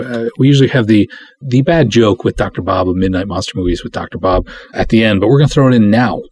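A man with a husky, somewhat low voice hosts a podcast on his own. He chats casually and rambles a little, self-deprecating, slipping between reading aloud and offhand commentary. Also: darkish tone. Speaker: podcast host.

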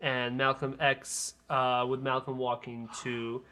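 Bright-voiced man talking film. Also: mid-range voice, level tone, takes it slowly. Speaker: film podcast co-host and critic. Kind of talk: casual film discussion.

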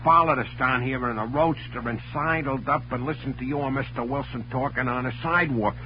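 A man acts out a loyal, slow-witted American cab driver from a vintage radio drama. He talks in tough, puzzled slang with mangled grammar. The recording is muffled, with clear noise over it. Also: mid-range voice, natural voice. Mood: earnest, curious. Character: dim, loyal, tough-talking cabbie sidekick. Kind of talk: 1940s-50s radio drama acting.

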